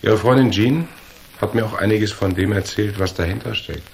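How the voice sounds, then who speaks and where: A man's voice: lowish voice, moderate pace, vocal fry, slight background noise. A male actor playing a cool, tough, confident hero in a radio crime drama, speaking dryly and unflustered. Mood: cool, probing. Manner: dry, unflustered, hard-boiled.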